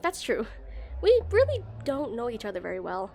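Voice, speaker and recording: A woman's voice: on the high side, huge pitch swings, normal speed, warm tone. A woman playing an awkward, shy female game developer on a dinner date in a romantic audio roleplay, flustered and hesitant. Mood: shy, friendly. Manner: flustered, hesitant, stammering, giggly.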